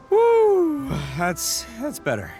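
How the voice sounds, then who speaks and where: A cocky male oni gang boss for a video game, with hugely swinging pitch. He boasts and blusters, then trips over his words when flustered. Faint music plays underneath. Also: a little mellow, heavily acted. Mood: winded.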